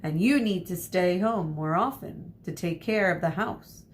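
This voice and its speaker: A fond, house-proud mother from a storybook voiced by a woman reading aloud. Her manner is lightly scolding and affectionate. The recording carries faint noise and rumble. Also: unhurried, lightly acted. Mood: mock scolding. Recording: noisy with low rumble.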